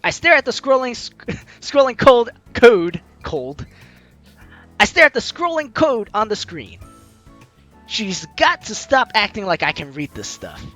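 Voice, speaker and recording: A man hosts a let's play, reading narration and dialogue aloud with swooping, animated pitch. His voice is bright and forceful, his delivery playful and theatrical, with faint game music behind him. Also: a little slow. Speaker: host of a game playthrough. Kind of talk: let's play, reading visual novel lines aloud expressively.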